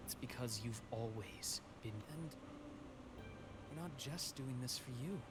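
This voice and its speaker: Loud music sits behind a man acting as a gentle, reflective male doctor in a video game. He talks in a measured, reassuring manner, like someone consoling an old friend with quiet philosophy. The pitch is an ordinary male middle. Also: natural voice.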